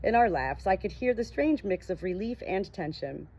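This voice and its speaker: A woman's voice: medium pitch, animated, normal speed, creaky, darkish tone, husky. A woman reading a first-person novel aloud as an audiobook narrator. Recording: dull, muffled lo-fi audio.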